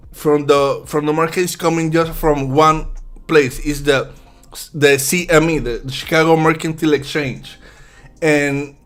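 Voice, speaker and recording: A man in his thirties from Puerto Rico, with a Puerto Rican accent, talking in a halting way with many restarts while trading on a live stream. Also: a little high. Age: 30s. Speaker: futures trader guest.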